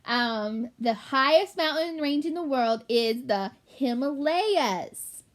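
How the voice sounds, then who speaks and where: A woman reads a children's book aloud in a fairly high voice. Her intonation is animated and varied. She narrates the story and speaks directly to listeners in short explanatory asides. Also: slow. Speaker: storybook reader.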